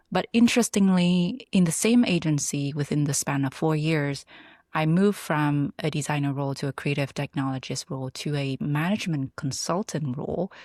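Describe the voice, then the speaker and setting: A woman from Vietnam, answering interview questions in a reflective, halting, conversational way. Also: sits low, darkish tone, dry recording, clean studio sound. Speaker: interview guest, product builder and designer.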